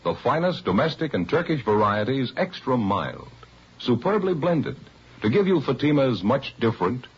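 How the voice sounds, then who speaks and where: A husky male announcer sells in an emphatic 1950s radio manner. His pitch moves in lively swoops, and the old recording sounds muffled. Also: normal speed. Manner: emphatic salesmanship. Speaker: commercial announcer.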